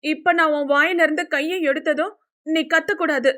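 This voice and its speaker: A woman's voice: high voice, steady tone, normal speed, fairly bright tone, pitched above the performer's own voice. A woman voicing a bold, smitten young woman, pleading and insistent, in an audio novel. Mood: exasperated. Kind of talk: Tamil audio novel character acting.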